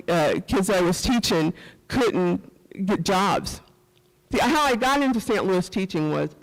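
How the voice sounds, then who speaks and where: Missouri-accented woman speaking in a reverberant hall. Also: lowish voice. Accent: rural Missouri. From rural Missouri, USA. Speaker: panel speaker, former police officer and professor. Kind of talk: personal public talk at a summit. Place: event hall at a community center. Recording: echoey hall, long reverb.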